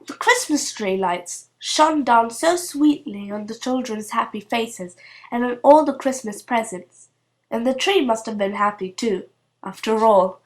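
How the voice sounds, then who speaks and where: A woman reading a children's story aloud as an expressive narrator. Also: a little high, measured pace. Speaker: storybook reader.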